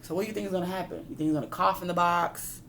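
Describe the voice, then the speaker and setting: A woman's voice: fairly low pitch, steady tone, racing, noisy background. A woman reading a picture book aloud like a teacher, expressively, with casual asides that explain and question her listeners.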